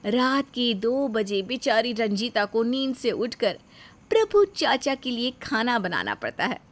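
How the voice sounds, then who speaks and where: A woman's voice: fairly high pitch, sing-song, a little slow. A woman narrating a scripted moral story as its storyteller.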